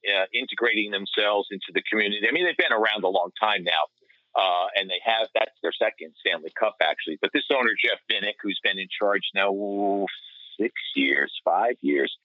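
A man's voice: lowish voice, a little fast, no room sound. A man giving casual sports analysis as a podcast panelist, over a dull remote line.

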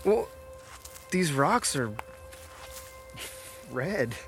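A man's voice: a little high, big pitch swings, extremely slow, vocal fry, full character voice. Man playing a terse drifting outdoorsman over music. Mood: curious. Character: guarded, drifting outdoorsman from Maine who grew up hunting. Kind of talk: naturalistic audio drama dialogue.

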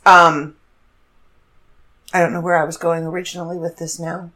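A woman's voice: fairly low pitch, little pitch movement, moderate pace, sharp tone. A congested woman in her fifties, hosting a casual solo podcast and rambling through stories with self-corrections. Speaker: podcast host.

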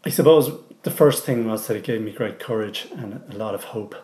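Dublin man, 40s, low voice, reflective recorded testimony, roomy echo. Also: brisk, darkish tone. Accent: Dublin Irish. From Ireland. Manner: reflective, loose, with many ums and restarts. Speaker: interviewee.

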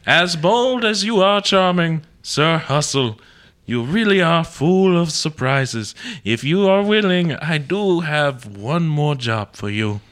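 Man putting on a slow, stately old Queen. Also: fairly high pitch, lively intonation, a bit bright. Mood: gracious, imploring. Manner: stately, formal, measured. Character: gracious regal older British Queen, flattered and formal. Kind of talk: comedy radio play character acting.

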